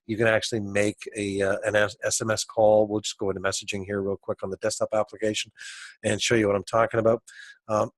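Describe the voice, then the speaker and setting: A man presenting an informal software demo walkthrough, speaking haltingly and often repeating words as he goes. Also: lowish voice, dry recording.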